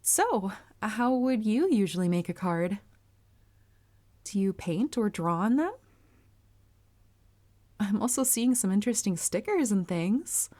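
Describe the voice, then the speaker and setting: A woman playing a polite, earnest, bashful young anime heroine with a crush, speaking formally and gently gushing in a roleplay audio. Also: mid-range voice, animated, unhurried, long pauses. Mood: curious, eager. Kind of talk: anime character roleplay audio, addressed to listener.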